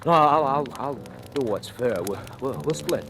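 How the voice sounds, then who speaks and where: An actor playing a desperate fugitive thief in a 1940s radio thriller, with an urgent, haunted delivery. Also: male voice, medium pitch, lively intonation, normal speed, natural voice. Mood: agitated, urgent. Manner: stammering, pleading, urgent. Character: desperate handcuffed fugitive thief, male, frantic and scheming. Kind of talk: 1940s radio thriller acting, narrating and in dialogue. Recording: old radio recording with music.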